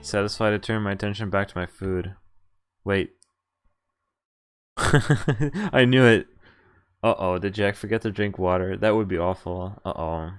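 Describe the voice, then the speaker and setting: A man's voice: on the low side, unhurried, long pauses, smooth. A man live-streaming a visual novel, reading the dialogue and narration aloud with playful, casual asides. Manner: playful, breaks into laughs and asides while reading. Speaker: game streamer. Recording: music behind the voice. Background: game background music.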